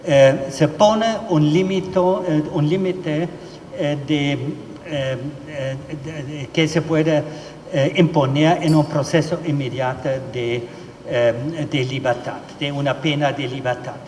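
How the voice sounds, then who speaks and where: A man gives a formal talk on law in a large hall. He speaks slowly in a fairly high voice, pausing often on fillers and restarting phrases. The sound is roomy, with a long echo and faint room noise behind it. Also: fairly even intonation, a little mellow. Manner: halting, many fillers and restarts. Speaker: legal expert speaker.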